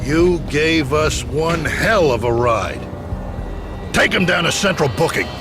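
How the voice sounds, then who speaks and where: Game police boss, slow and commanding, music in back. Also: male voice, on the high side, lively intonation. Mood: wry, commanding. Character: gruffly commanding senior policeman after a manhunt. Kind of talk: video game cutscene acting.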